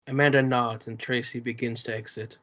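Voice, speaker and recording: A man reads stage directions in a plain, even way, mid-pitched and steady. The recording is dull and lo-fi. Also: a little fast.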